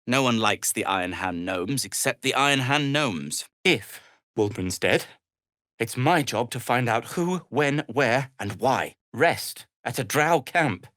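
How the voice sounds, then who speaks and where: An actor playing an earnest, self-deprecating gnome adventurer in a video game, hesitant and wry, with formal, wordy phrasing. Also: male voice, medium pitch, expressive, measured pace, fairly bright tone, dry recording, clean studio sound. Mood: wry, prickly. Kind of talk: video game character dialogue.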